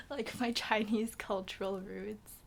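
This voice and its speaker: Teen girl from Macau with a slight British tinge, casual guest chat, lots of "like", drifts soft at phrase ends. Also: medium pitch, steady tone, takes it slowly, vocal fry. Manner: chatty, filler-heavy, trails into soft half-whispers.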